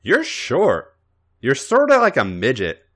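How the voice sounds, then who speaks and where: Man putting on a slurring drunk young woman, swooping pitch. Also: huge pitch swings, slow pace, a bit bright, slightly rough, heavily acted. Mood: tipsy, whiny. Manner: slurred, whiny.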